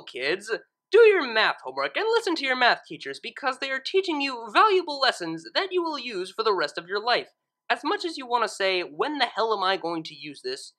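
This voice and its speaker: A woman reading fan fiction, with expressive narration and casual commentary in a theatrical, emphatic manner. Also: on the high side.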